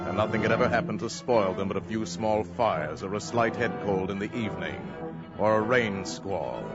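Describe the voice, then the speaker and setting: Grave, measured radio-play narrator, played by a man: fairly deep, muffled old recording. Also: close to an everyday voice. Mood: solemn, wistful. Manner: measured, portentous. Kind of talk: 1950s dramatic scripted narration. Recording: dull vintage radio, loud music behind.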